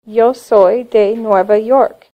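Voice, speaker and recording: A woman voicing a Spanish teacher in a cartoon lesson, speaking slowly and clearly. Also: on the high side, fairly even intonation. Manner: slow, clear teaching delivery. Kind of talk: scripted cartoon lesson narration. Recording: dull lo-fi sound.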